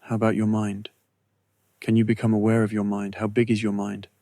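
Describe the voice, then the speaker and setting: Low, even-toned male meditation guide. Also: no echo. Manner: slow, measured, soothing delivery with long pauses. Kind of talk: guided meditation narration, scripted.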